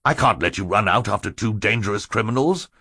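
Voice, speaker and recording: A man's voice: lowish voice, lively intonation, fairly quick, bright, forceful tone, breathy edge, no echo, clean studio sound, exaggerated voice. A man voicing a fussy, officious middle-aged guardian in a storybook, pompous and admonishing. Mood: firm, alarmed.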